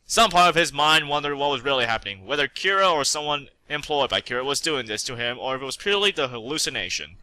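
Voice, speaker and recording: Male reader for fan fiction narration: very bright, forceful tone, animated pitch. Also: fast, hardly any pauses, clear, resonant tone, no room sound, poor audio. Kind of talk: dramatic read-aloud narration.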